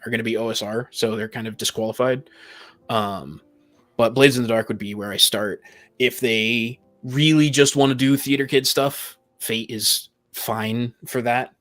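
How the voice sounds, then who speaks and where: A man's voice: mid-range voice, fairly bright tone. A man in a casual, opinionated discussion, talking as a podcast host about games.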